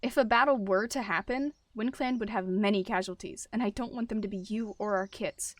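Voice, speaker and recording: A woman's voice: medium pitch, fairly quick, no room sound, lightly acted. A woman voicing a hot-tempered, ambitious male warrior cat deputy with a snarling, commanding manner in an animal roleplay story. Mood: tense, pleading.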